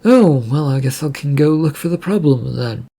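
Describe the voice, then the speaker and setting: A man's voice: on the high side, animated, normal speed, gravelly, warm tone. A man voicing a weary, stubborn male second-in-command of a ship, skeptical and resigned, in an audio story. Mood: wary.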